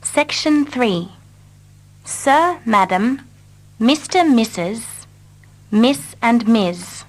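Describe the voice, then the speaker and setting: A woman repeating short English dialogue lines slowly and carefully for learners. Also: a little high, animated. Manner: carefully repeats each line. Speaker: English teacher. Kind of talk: slow scripted reading for learners.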